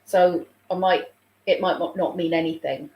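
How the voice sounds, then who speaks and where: A woman's voice: on the low side, steady tone, moderate pace, dull audio. A woman, a childminder chatting casually on a live stream and reading out viewer comments, a little snuffly with a sore throat coming on. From England. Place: room at home. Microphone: phone. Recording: roomy home room echo.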